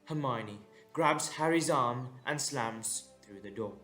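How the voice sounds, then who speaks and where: Mid-pitched male narrator over music. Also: slow, a bit bright. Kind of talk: scripted dramatic story narration. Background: instrumental music.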